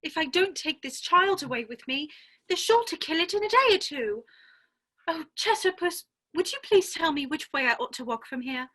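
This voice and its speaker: A high, bright-voiced storybook girl acted by a woman, polite and earnest. The speech is very animated, prim and curious, with a fretful edge. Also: moderate pace. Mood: questioning, earnest. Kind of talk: dramatic reading of a children's classic.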